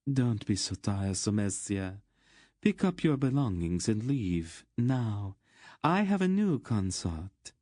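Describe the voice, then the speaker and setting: A man's voice: fairly low pitch, animated, takes it slowly, dark tone, no echo, studio quality, voice pushed up. A man voicing a seductive, cruel and bored queen in a purring, languid manner for a story. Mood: cold, bored.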